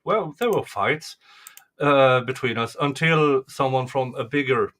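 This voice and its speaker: A man talks slowly and thoughtfully in a laid-back stream chat. His voice sits at an ordinary middle pitch. He pauses on fillers as he works his way through a memory. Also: a bit bright. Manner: unhurried, thoughtful, with many fillers.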